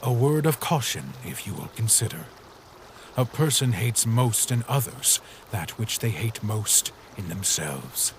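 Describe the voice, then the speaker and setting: A serene, riddling ferryman who drifts through his words at an unhurried pace, as a man puts him on. His sing-song lines rise and fall with a lot of movement. The recording has faint background noise. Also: lowish voice, big pitch swings. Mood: mysterious. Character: cryptic hooded boat ferryman, serene and riddling. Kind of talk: fan comic dub.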